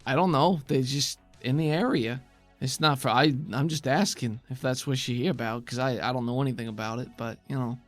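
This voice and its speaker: A man voicing a gruff, stocky older insectoid woman who runs a dump and talks in a casual, evasive, clipped way over music. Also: medium pitch, fairly quick, close to an everyday voice. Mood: guarded, dismissive. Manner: casual, clipped, shrugging, chewing on a toothpick.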